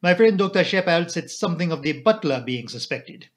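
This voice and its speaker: A man voicing a courteous, higher-pitched retired Belgian detective. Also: animated, close to an everyday voice. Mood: polite, probing. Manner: courteous, formal, measured. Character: retired Belgian detective, polite, modest, quietly shrewd older man. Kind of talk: audiobook character dialogue.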